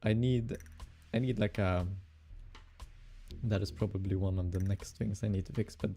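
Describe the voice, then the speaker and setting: A young man with a Swedish accent, streaming casual game development and musing aloud with fillers and soft laughs. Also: lowish voice, steady tone, normal speed, vocal fry, slight background noise. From Sweden. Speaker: game developer streaming his hobby project. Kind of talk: casual live-coding stream chatter.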